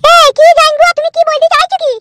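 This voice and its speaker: A woman voicing an indignant, quarrelsome wife in comic cartoon dialogue, shrill and scolding. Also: little pitch movement, fast talker, very noisy. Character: indignant, quarrelsome young wife defending her mother.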